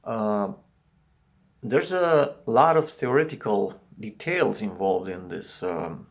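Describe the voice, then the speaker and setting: Slow, gravelly Romanian-accented man. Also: on the low side, animated. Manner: halting, searching for words. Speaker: video commentator. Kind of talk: solo video commentary.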